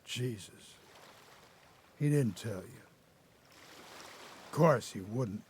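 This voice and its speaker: An actor playing a haunted old grandfather, an escaped convict telling his story haltingly in a radio drama. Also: mid-range voice, darkish tone. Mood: bitter, confiding. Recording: noticeable background noise.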